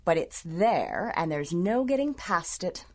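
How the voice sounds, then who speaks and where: Low, lilting, dangerous villainess. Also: female voice, big pitch swings, unhurried, exaggerated voice. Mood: explaining coolly. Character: cool, dangerous female villain, proud rival of the heroine. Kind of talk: 1930s radio adventure serial acting.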